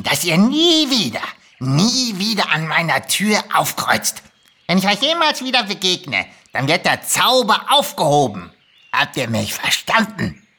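A woman's voice: lowish voice, bright, forceful tone, full character voice. A woman voicing a grumpy male kobold in an audio-play reading, irritated, commanding and scornful. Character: grumpy, foul-mouthed male kobold granting wishes reluctantly.

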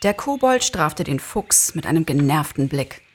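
A woman narrating a story as an expressive scripted reading. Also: low voice, normal speed, slight background noise.

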